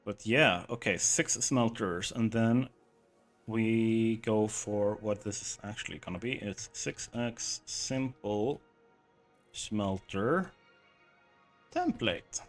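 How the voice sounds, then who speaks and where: A man's voice: fairly low pitch, slow pace. Chatty man over faint music. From southern Sweden. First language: Swedish. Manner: rambling and chatty, reads chat aloud, lots of "like". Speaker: game streamer. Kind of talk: casual live-stream chat while building.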